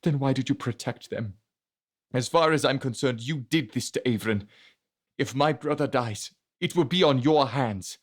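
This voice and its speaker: Male narrator as grieving hot-tempered young fighter, accusing, anguished, pitched up, fantasy audiobook. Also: normal speed, close to an everyday voice. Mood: bitter, accusing.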